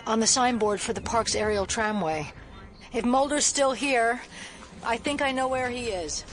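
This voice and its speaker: An actress playing a serious, skeptical federal agent in an audio drama, earnest and clipped. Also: female voice, fairly high pitch, measured pace, lightly acted. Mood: puzzled, focused. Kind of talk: naturalistic audio drama acting.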